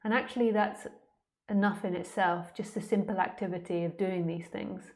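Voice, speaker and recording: A woman's voice: on the low side, fairly even intonation, moderate pace. A woman speaking as a spiritual teacher in an informal question-and-answer talk, candid, plain-spoken and conversational.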